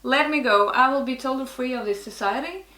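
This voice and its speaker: A woman's voice: sits high, brisk, sharp tone, reverberant. A middle-aged woman from Norway with a Norwegian accent, speaking English as a guest in a casual interview, frank and often restarting her sentences. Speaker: guest, network marketer.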